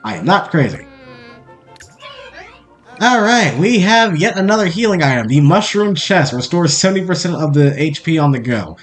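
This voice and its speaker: A young man with a Boston accent talks through a game in loose, rambling commentary, often correcting himself. His voice sits fairly high for a man. Soft game music plays underneath. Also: lively intonation, fairly quick, few pauses. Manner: rambling, self-correcting chatter. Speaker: let's play host. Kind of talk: casual gameplay commentary.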